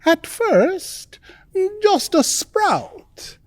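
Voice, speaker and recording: Very slow, animated woman storyteller. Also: very high-pitched, no echo, studio quality. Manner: dramatic, drawn-out phrasing with suspenseful pauses. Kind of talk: theatrical children's bedtime storytelling.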